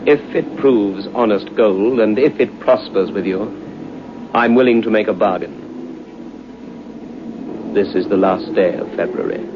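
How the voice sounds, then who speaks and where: A very low-voiced gentleman devil played by a male actor, formal and menacing. He speaks with measured command, as in an old radio drama. The recording is dull, with clear noise. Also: lightly acted. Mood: coldly persuasive. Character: suave, menacing gentleman devil recruiting souls.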